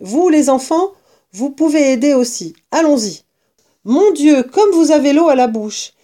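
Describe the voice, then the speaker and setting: A jovial, scheming fox father with an exuberant, commanding manner, as a woman reading a storybook puts him on. The voice sits high and lively, with a mellow, soft tone. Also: measured pace. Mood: greedy delight.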